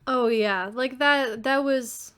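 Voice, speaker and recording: A woman's voice: high voice, slow, a bit bright, clean tone. A woman talking casually as a writer on a podcast, in a hesitant, reflective manner. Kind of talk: casual chat.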